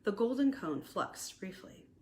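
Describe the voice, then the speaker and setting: A middle-aged American woman reads a first-person romantic memoir aloud in an expressive, deliberate storytelling manner. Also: medium pitch, a little hiss or hum. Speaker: author reading own novella aloud.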